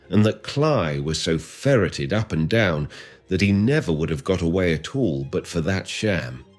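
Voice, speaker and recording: A male narrator plays a sly middle-aged spy who speaks smoothly and ingratiatingly. The voice sits very low yet moves lively up and down, with faint music behind. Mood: rattled. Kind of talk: audiobook character reading.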